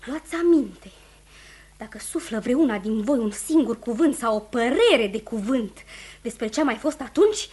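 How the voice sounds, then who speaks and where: A high, husky, fierce village girl. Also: animated. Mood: menacing. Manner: intense, passionate, swinging from whispers to shouts. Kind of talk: Romanian radio theatre acting.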